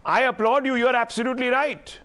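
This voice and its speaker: Indian guy anchoring a news debate, really high voice, pushy and argumentative. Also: steady tone, fast talker, a bit bright. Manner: insistent, interrupting, argumentative. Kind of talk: heated TV debate moderation.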